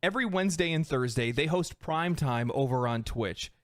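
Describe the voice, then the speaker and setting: A man's voice: a little high, lively intonation, normal speed, no room sound, clean studio sound. A man delivering scripted video essay narration about games in an earnest, emphatic way.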